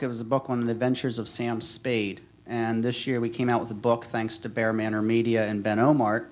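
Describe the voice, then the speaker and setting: A man speaking as an author on a panel, informally presenting books. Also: on the low side. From Pennsylvania, United States. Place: convention panel room.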